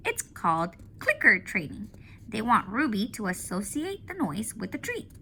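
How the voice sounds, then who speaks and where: A woman's voice: mid-range voice, wildly swooping pitch, moderate pace, sharp tone, husky, exaggerated voice. Woman doing a skeptical, know-it-all dog. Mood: disapproving. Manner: matter-of-fact explaining. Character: worldly male dog, skeptical and wry.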